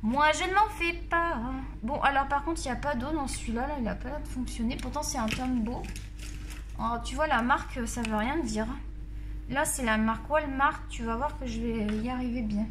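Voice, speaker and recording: A woman's voice: high voice, normal speed, fairly bright tone, slight background noise. A woman with a Marseille accent chatting casually and jokingly to her viewers while she tests colored pencils at her desk. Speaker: art vlogger reviewing colored pencils. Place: at a desk at home, face off camera.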